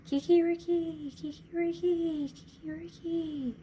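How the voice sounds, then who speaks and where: A woman's voice: takes it slowly, slight background noise, low-quality recording. A woman voicing a brave, headstrong little male rooster in a storybook, steadfast and defiant. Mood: joyous crowing. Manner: calm, steadfast speech with high crowing calls.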